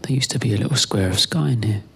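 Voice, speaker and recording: A man performing a spoken-word monologue in a deliberate, halting way, repeating phrases, with a husky voice. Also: fairly low pitch, fairly bright tone. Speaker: performance artist and narrator.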